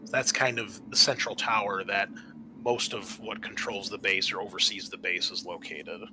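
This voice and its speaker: A man working as game master, narrating a tabletop horror game in a halting, explanatory way. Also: on the low side, steady tone, background noise.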